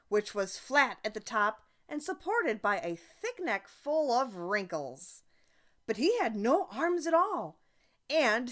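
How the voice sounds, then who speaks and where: A woman reading a children's story aloud as an audiobook narrator, expressive and deliberate. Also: fairly high pitch. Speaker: audiobook reader.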